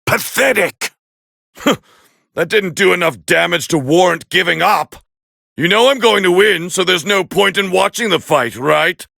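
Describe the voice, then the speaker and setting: A man voicing a proud, scornful alien warrior prince as battle commentary in an anime fighting game, with a harsh, gravelly growl and a haughty, clipped delivery. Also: high voice, unhurried, bright, forceful tone, no echo, studio quality, exaggerated voice.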